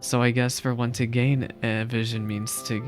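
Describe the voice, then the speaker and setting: A streamer reacts to a game story in a mid-pitched voice. The talk is casual and thought aloud, with emphatic repeats. Faint music plays behind. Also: little pitch movement, normal speed. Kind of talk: casual playthrough reaction commentary.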